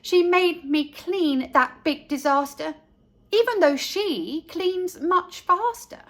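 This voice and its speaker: Woman doing a cheeky tiny girl telling her storybook, high voice, unhurried, all innocent and dramatic. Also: fairly bright tone, exaggerated voice. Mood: cheerful, smug. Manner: innocent, sing-song, dramatic. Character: cheeky, self-assured three-year-old girl narrating her mischief. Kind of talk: rhyming storybook narration.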